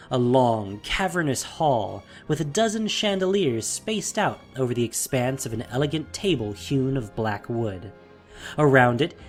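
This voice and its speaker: A man narrating a scripted fantasy story in a measured, dramatic way. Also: medium pitch, darkish tone.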